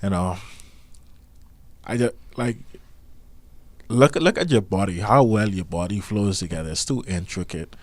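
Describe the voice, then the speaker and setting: Casting: nasal young man, low voice, relaxed podcast co-host, dry close sound. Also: dry recording. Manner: calm and laid-back, many "um" pauses. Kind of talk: casual chat.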